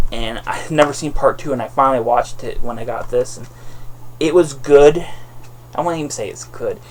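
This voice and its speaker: Man with a lisp, noisy recording. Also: medium pitch. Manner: halting, repeats and corrects himself. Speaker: vlogger reviewing DVD purchases. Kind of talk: casual rambling review to camera.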